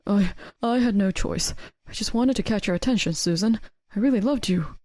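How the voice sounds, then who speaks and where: A woman's voice: mid-range voice, moderate pace, darkish tone, dry recording, studio quality, close to an everyday voice. A woman voicing a pathetic, pleading middle-aged husband in a dramatised story, weak in manner. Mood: tearful pleading.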